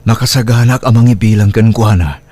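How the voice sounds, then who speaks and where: A man's voice: fairly low pitch, quick pace, dark tone, husky. A man with an Ilocano accent playing a tender, devoted middle-aged husband and single father in a sentimental audio drama. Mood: tender, earnest. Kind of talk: Ilocano radio-style audio drama acting.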